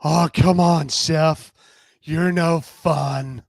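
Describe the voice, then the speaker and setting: Woman acting a slow, teasing flirt. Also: low-pitched, fairly even intonation, creaky, no echo, clean studio sound, heavily acted. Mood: playful. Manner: drawling, provocative.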